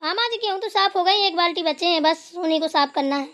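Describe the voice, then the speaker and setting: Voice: extremely high pitch, fast, pushed and bright, no echo, clean studio sound. A performer voicing a dutiful, overworked village daughter-in-law in a Hindi cartoon, chatty and deferential. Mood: matter-of-fact. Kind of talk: Hindi family cartoon dialogue.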